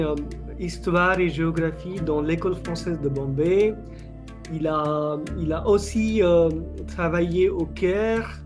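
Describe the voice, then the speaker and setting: A man hosting an interview, speaking slowly and searching for his words. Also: on the high side. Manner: slow, halting, searching for words. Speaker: host, interviewer. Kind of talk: interview host.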